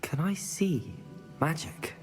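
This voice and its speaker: A woman's voice: deep voice, slow, darkish tone, faint music behind. An actress playing an earnest, curious young witch student in a video game, polite. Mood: puzzled. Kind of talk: video game cutscene acting.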